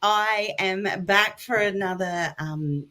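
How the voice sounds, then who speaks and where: A woman's voice: mid-range voice, slow pace, pushed and bright. A woman from Australia hosting a casual online interview, chatty and friendly, with plenty of fillers. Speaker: host.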